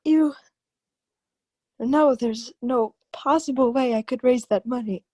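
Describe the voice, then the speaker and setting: A desperate, well-to-do wife acted by a woman in a radio thriller. Her voice sits high, and she pleads with guarded defiance as someone backed into a corner. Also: takes it slowly, darkish tone. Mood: desperate, defiant. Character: cornered, desperate young wealthy wife hiding a past. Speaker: actor. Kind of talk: old-time radio crime drama acting.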